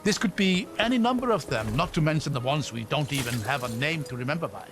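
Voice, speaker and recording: An actor playing a thoughtful, kindly older scholar-adventurer in a video game, articulate and wry. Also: male voice, a little high, animated, quick pace. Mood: intrigued, wistful. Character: learned, kindly older male scholar-adventurer, wistful about history. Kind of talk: video game cutscene acting. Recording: loud game score behind. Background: music.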